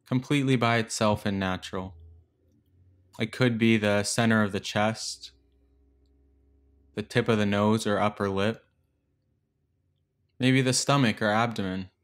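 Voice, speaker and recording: Low-voiced man guiding meditation. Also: fairly even intonation. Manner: gentle, unhurried instruction with long pauses. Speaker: meditation guide. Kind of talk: guided meditation.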